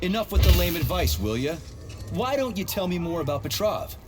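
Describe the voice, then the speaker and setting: A man voicing a gruff, irritable, sarcastic Soviet soldier in a video game, blunt and foul-mouthed. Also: high-pitched, very animated, a little fast, over music. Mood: irritated, sarcastic. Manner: sardonic, blunt.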